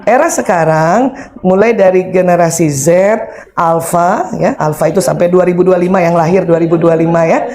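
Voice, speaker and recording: A woman in her fifties giving a lively, humorous parenting seminar, chatting with her audience and quizzing them with questions. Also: lowish voice, normal speed. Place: seminar hall before an audience.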